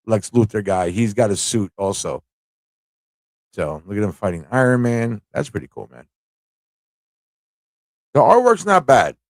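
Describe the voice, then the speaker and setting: A man's voice: lowish voice, no room sound. Casual, off-the-cuff male livestream host, dry sound. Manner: informal, off-the-cuff, thinks aloud, repeats phrases. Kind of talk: casual rambling livestream chat and commentary.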